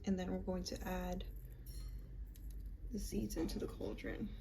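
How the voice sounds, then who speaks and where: A young woman casually walking viewers through a love spell, chatty and halting, with frequent ums and likes. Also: fairly low pitch, level tone, vocal fry, background noise. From United States, Puerto Rican and Peruvian family. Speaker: witchcraft vlogger.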